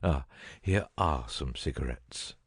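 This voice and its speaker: An actor playing a cunning detective with a husky voice in a dramatic audiobook reading. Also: male voice, a bass voice, slow pace, no echo, studio quality, heavily acted. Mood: composed, wry. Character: cunning male detective, first feigning a dying illness, later brisk.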